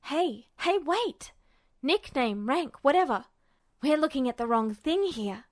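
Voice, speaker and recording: Woman voicing an excitable, high-pitched brash teenage boy. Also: unhurried. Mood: urgent, excited. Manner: emphatic, blurting, insistent. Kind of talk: audiobook-style character dialogue.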